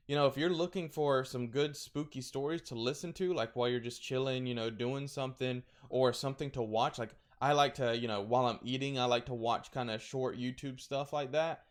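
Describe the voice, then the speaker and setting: A man with a crackly voice chatting casually, rambling through recommendations with lots of fillers and restarts. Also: mid-range voice. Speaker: streamer recommending horror content.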